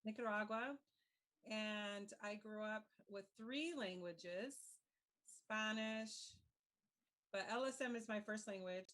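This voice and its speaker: A woman's voice: mid-range voice, a bit bright. Woman speaking carefully on a panel. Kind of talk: panel discussion.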